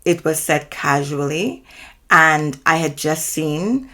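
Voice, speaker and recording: A woman in her forties with a Trinidadian accent, hosting her own channel and telling a personal story in a measured, earnest way. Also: low-pitched, bright, forceful tone. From Trinidad and Tobago. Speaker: channel host.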